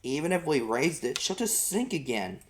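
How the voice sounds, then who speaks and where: A man's voice: on the high side, sing-song, normal speed, a little hiss or hum, voice pushed up. A man plays a gloomy father in a storybook. He sounds listless and resigned, speaking heavily as if he has given up.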